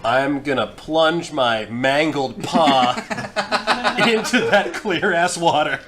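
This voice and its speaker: Male game player, bright voice, higher-pitched, casual wry banter. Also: slow, slight background noise.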